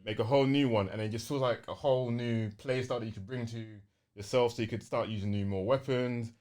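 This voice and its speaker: A man's voice: mid-range voice, steady tone, fast talker, darkish tone. A young man with a London accent chatting casually and enthusiastically on a gaming podcast, using plenty of slang.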